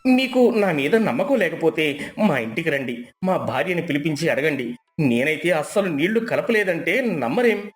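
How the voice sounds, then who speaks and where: A man's voice: on the high side, expressive, normal speed, soft background music, lightly acted. A man playing a meek, honest, poor village milkman who pleads and protests in a moral story. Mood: pleading denial.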